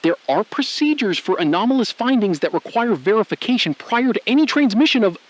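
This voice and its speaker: A high-voiced male mission control official played by an actor, with lively intonation. He hides behind procedure in formal, faltering phrases, in the style of a scripted audio drama. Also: brisk, low-quality recording. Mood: officious.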